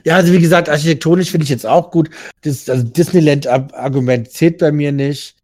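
A man co-hosting a podcast who talks hesitantly and fills his speech with pauses and filler sounds. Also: a little high, darkish tone. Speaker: podcast co-host. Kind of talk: casual chat.

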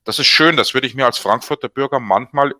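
A man's voice: mid-range voice, a little fast, bright, forceful tone, dry recording, studio quality. A man co-hosting a casual podcast chat, talking in a chatty, opinionated and wry way. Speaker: podcast co-host.

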